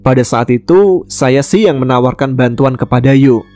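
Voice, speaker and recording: A man from West Kalimantan, Indonesia, telling a true-life ghost story in a calm, measured way, voicing the dialogue plainly. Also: medium pitch, faint music behind. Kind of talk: first-person ghost story narration.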